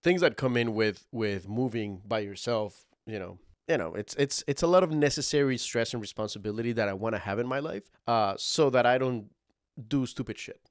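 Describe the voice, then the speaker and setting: A man's voice: mid-range voice, normal speed, no echo, studio quality. A man in his thirties co-hosting a podcast, talking casually and candidly with slangy, repetitive phrasing. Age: 30s. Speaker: podcast co-host.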